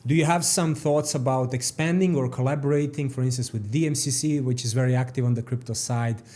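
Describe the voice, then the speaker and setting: A man hosts a podcast interview at an average pitch. He asks structured questions and otherwise offers short acknowledgements while his guest talks. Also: fairly even intonation, brisk, dark tone. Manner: brief backchannels, structured questions. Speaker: podcast host.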